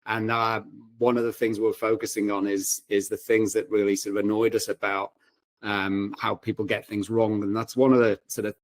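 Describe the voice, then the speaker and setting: Male, fairly low pitch. Halting, conversational podcast guest in a dry room. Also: flat delivery, no echo. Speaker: podcast guest, writer and former paramedic. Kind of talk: casual chat.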